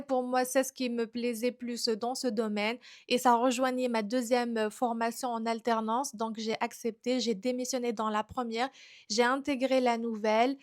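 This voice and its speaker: High-voiced woman telling her story. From Algeria. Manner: chatty, personal storytelling. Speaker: fashion content creator. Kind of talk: casual vlog introduction.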